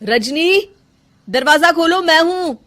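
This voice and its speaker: A woman's voice: very high-pitched, animated, slow, fairly bright tone, pitched above the performer's own voice, heavily acted. A woman voicing a caring, puzzled young husband in a cartoon moral story, earnest and emphatic. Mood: concerned.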